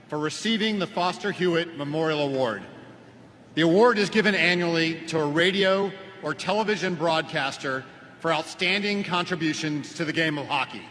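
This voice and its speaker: Bright-voiced man speaking in a cavernous arena. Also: a little high. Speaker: sports team president. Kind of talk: prepared ceremony tribute speech. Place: large indoor hockey arena. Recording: noisy arena PA, long reverb.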